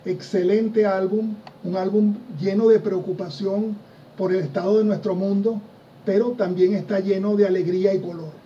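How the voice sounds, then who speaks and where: High, dark-toned man on a distant lo-fi mic. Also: moderate pace, slight background noise. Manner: relaxed, enthusiastic about bands, many fillers. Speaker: co-host of a progressive rock music show. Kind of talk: casual radio-style music chat.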